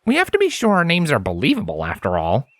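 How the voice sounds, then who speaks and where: A man voicing a calm, earnest, scholarly male pony with a polite, matter-of-fact manner in an audio story. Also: fairly high pitch, fast talker, dry recording, pitched above the performer's own voice. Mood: thoughtful. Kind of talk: audiobook character voice.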